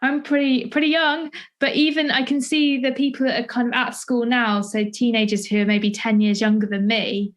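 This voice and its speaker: A woman's voice: a little high, level tone, normal speed, hardly any pauses. A woman in her twenties with a southern English accent, chatting casually as an interviewer, feeling her way through her sentences. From Essex, England. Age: 20s. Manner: hesitant, searching phrasing with many hedges. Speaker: podcast interviewer and playwright.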